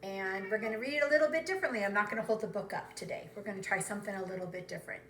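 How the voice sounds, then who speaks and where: A woman preschool teacher telling a story to young children in an expressive way, with simple phrasing and direct questions. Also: mid-range voice, very fast, a little hiss or hum.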